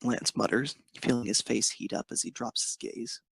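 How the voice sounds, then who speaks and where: A man narrates romantic fan fiction with expression. His mid-pitched voice is strongly creaky and husky. The sound is dry, with no room around it. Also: steady tone, moderate pace, fairly bright tone, dry recording.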